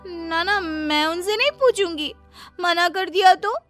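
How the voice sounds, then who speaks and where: A weary young daughter-in-law, played by a woman storyteller with a high, plaintive voice. She sounds bright and timid, in a moral-story style with music behind her. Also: a little slow, no echo.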